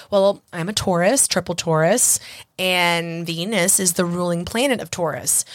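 A young woman hosting a solo podcast, chatty and self-mocking, her voice husky and a little hoarse from congestion. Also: medium pitch, a bit bright, dry recording, studio quality. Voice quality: husky, raspy. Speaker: podcast host.

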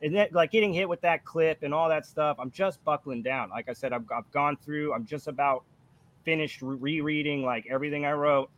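A man's voice: on the high side, steady tone, brisk, fairly bright tone, dull audio. A man chatting casually as a channel host, swearing freely and talking in a self-deprecating way. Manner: profane, laughs easily. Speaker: book review channel host and aspiring writer. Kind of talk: casual chat.

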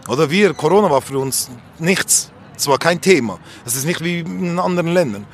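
Candid Swiss man with higher-pitched voice. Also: animated, moderate pace. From Switzerland. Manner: candid, colloquial, rambling. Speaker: street interviewee. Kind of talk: casual personal reflection. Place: outdoors on a street. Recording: faint outdoor background noise.

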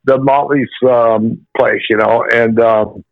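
Male musician calling in, a bit rough-voiced, meandering and halting, on a muffled dry line. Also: medium pitch, steady tone, no room sound. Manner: rambling, many restarts and fillers. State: slightly rough voice after rehearsal. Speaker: musician guest on the phone. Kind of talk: phone interview, reminiscing. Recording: phone call, dull and dry.

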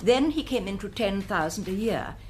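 A woman's voice: medium pitch, lively intonation, moderate pace, darkish tone, slight background noise, lightly acted. A woman acting as a prim, disapproving, genteel Englishwoman giving precise evidence in a radio mystery. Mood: cool, disapproving. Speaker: actor in a radio drama.

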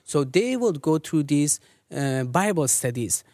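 A man from Afghanistan who leads a ministry, speaking earnestly and measuredly as an interview guest with many pauses and fillers. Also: fairly high pitch, sing-song, a little mellow, no echo, studio quality. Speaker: ministry leader.

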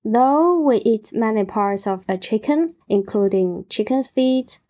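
A woman's voice: fairly high pitch, animated, unhurried, darkish tone. A woman from China speaking English with a Chinese accent, direct and matter-of-fact as a podcast guest. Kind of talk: casual guest explanation. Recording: dull lo-fi recording.

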